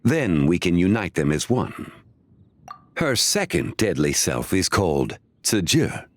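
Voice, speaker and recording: An ancient, composed sage. He explains weighty matters in formal, deliberate game dialogue, with a wide, expressive melody. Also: deep voice, full character voice. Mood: composed, explanatory. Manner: formal, measured, solemn, deliberate. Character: calm, grave, ancient male sage explaining secrets. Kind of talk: scripted video game character dialogue.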